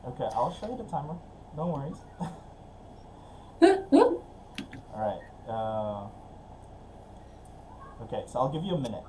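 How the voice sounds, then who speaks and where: A man's voice: medium pitch, huge pitch swings, measured pace, long pauses, warm tone, slight background noise. Upbeat man hosting a video call. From Philippines. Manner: upbeat, chatty, with laughs and fillers. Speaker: webinar host. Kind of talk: casual online meeting hosting.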